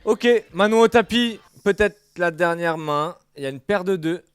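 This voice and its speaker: Male poker dealer-announcer, high register, lively informal live calls, faint music underneath. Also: normal speed, clear, resonant tone. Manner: animated, informal, exclaiming. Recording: music playing faintly behind the voice.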